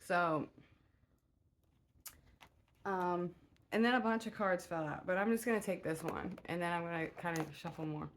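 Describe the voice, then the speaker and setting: A woman with a low, soft voice talks her way through a tarot reading in a relaxed, unhurried way. She meanders and fills her pauses with little hesitations. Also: slight background noise. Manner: rambling and meandering, many filler "uh"s. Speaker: tarot reader. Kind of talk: casual spoken tarot reading.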